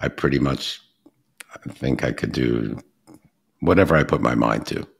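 A chatty man with a Brooklyn accent hosts a relaxed interview. His voice sits very low, with a gravelly, husky edge. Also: moderate pace. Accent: Brooklyn New York. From Brooklyn, New York. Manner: chatty, acts out little phone dialogues. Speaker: podcast host. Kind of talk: casual interview chat.

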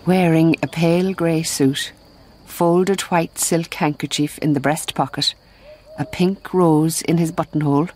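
A composed Mother Superior narrating a radio play. Her voice is low for a woman, and she unfolds detailed description in a measured, observant way. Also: fairly even intonation, a little mellow, slight background noise. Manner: measured, literary storytelling. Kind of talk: scripted radio drama narration.

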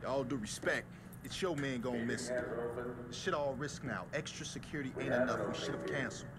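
An actor playing a blunt, irritated gang lieutenant in a video game, talking in a slangy way. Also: male voice, mid-range voice, a little fast, a loud crowd, close to an everyday voice. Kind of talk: video game cutscene acting.

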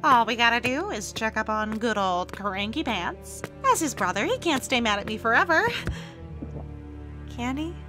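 A woman's voice: on the high side, animated, moderate pace, music in the background, close to an everyday voice. A woman voicing a brash, melodramatic young man, a chaotic brother, with theatrical intensity in a comic dub. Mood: playful, dramatic. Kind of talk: dramatic comic dub acting.

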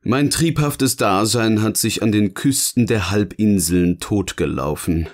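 A man's voice: lowish voice, measured pace, lightly acted. A man acting a teasing, philosophical partner in scripted audio-novel dialogue, with a theatrical, questioning manner. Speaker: voice actor, narrator.

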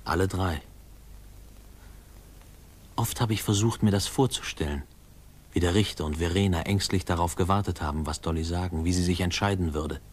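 A man's voice: low-pitched, moderate pace, pauses often. An actor playing a grown man remembering his boyhood, narrating a 1950s radio drama in a reflective, lyrical way. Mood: wistful, reflective. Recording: faintly noisy old radio recording.

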